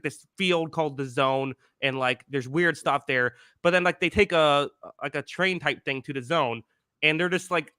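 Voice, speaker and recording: A man in his twenties hosting a podcast in casual chat, rambling with many fillers and restarts, with a higher-pitched voice. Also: pushed and bright, no echo, clean studio sound. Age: 20s. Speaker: podcast host.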